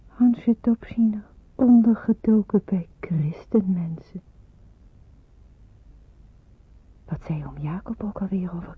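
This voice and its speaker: A woman's voice: mid-range voice, husky. An actress playing a bewildered, wary young woman in hiding, musing and questioning in a radio drama. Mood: troubled, wary. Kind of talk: radio drama narration and dialogue. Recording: muffled, narrow-band old radio recording.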